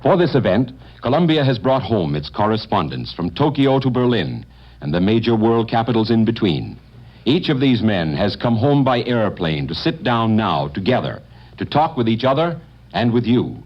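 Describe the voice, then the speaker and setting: A man's voice: on the low side, very animated, moderate pace. A man reading a formal sponsor announcement as a radio announcer, with a polished, emphatic delivery. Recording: dull lo-fi 1950 radio broadcast.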